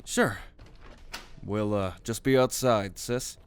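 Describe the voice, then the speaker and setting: A man's voice: fairly low pitch, slow. A man playing a loyal, wry and slightly awkward young brother-in-law in a conversational audio roleplay drama. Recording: clear background noise and ambience.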